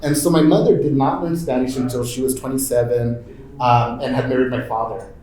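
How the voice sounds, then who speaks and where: A man moderating a panel and then sharing his own story in loose, halting talk full of fillers and restarts. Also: medium pitch, steady tone, dark tone, roomy sound, poor audio. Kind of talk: casual conference talk. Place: conference room.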